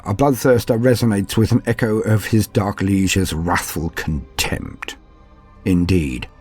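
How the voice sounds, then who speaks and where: A low-voiced man narrates fantasy lore with theatrical weight and formal diction. His voice is gravelly and swings widely in pitch, giving the scripted delivery a portentous, dramatic shape. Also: darkish tone. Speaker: host of a fantasy lore channel.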